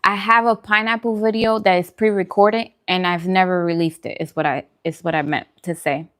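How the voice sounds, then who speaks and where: A woman's voice: fairly low pitch, steady tone, normal speed, fairly bright tone, clear, resonant tone. A woman chatting casually and in a friendly way as she hosts a food livestream, answering viewers' comments.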